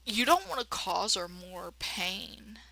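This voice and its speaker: Bright-voiced woman narrating a game. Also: mid-range voice, slow pace, gravelly. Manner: animated, chatty, folksy mild exclamations. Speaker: let's player. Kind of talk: game commentary mixed with dramatic read-aloud narration.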